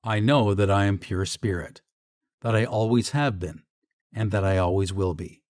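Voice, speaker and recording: A man narrating scripted guided meditation affirmations in a measured, declarative way. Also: on the low side, darkish tone, no room sound, clean studio sound.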